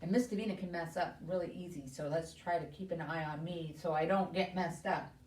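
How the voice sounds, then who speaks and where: A woman's voice: on the low side, a little fast, darkish tone, slight background noise. A woman hosting a children's story time, giving simple, encouraging instructions and questions to young children. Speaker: library story time host. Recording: roomy echo, distant microphone.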